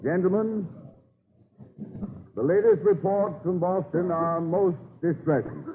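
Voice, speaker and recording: A man's voice: sits high, slow, long reverb tail, narrow, tinny audio. An actor playing a dignified Virginia statesman and father in a 1930s radio drama, speaking in a formal, oratorical way. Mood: formal, urgent. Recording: thin, noisy 1930s radio recording.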